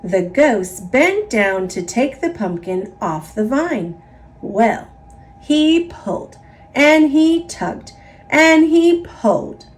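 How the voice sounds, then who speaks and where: Very slow, animated American woman storyteller. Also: high-pitched. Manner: expressive, emphatic, repetitive build-ups. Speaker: storybook narrator. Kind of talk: read-aloud children's story narration.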